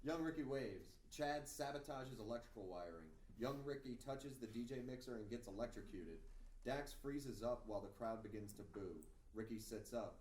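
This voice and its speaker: A man with a mid-pitched voice reads stage directions at a table read. He is a little far from the mic in an echoey room, with faint noise. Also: lively intonation, normal speed, a little mellow. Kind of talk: scripted narration at a table read.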